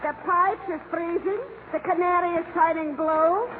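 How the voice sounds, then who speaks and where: Shrill, woeful housewife, Yiddish-inflected New York. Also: female voice, steady tone, normal speed. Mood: woeful, indignant. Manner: plaintive, exaggerated complaining in twisted syntax. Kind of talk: 1940s radio comedy acting. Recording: noisy, tinny 1940s radio broadcast.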